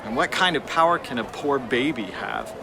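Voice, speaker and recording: A man's voice: medium pitch, big pitch swings, moderate pace, creaky, noisy background. A man playing a cynical, ambitious young runaway slave, brash and dismissive, in a family audio drama.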